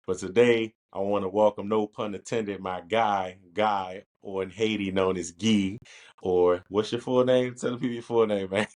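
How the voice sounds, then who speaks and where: A man from Washington, D.C. with a very deep voice, hosting a casual interview podcast. He talks in an easygoing way and laughs easily as he chats with his guest. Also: fairly even intonation, a little mellow. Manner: easygoing, laughs often, quick. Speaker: podcast host.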